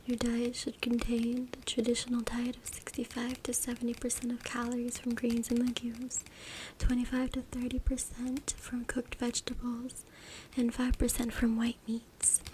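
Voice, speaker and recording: A woman reading aloud in a breathy, unvoiced ASMR whisper with informal, hushed asides. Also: on the high side, completely flat delivery, moderate pace. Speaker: ASMR creator. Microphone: close USB microphone. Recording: noisy USB mic with clicks, tapping. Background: tapping, scratching, clicks.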